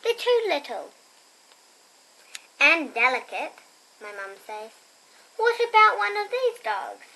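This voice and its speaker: A young girl reading a picture book aloud expressively, with chatty, quieter asides to her listeners. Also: sits high, sing-song, slow, long pauses, low-quality recording. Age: child. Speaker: storybook reader and host.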